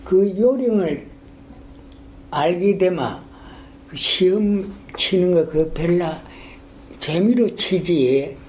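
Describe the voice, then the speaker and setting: An older man with a strong Gyeongsang dialect, a retired school principal telling his story in a halting, rambling way in an oral history interview. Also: high-pitched, expressive, darkish tone, slight background noise. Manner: halting, rambling, many fillers and restarts.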